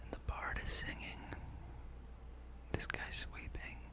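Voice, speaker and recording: A person whispering an ASMR gameplay ramble as host, thinking aloud. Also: slow pace. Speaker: gaming channel host.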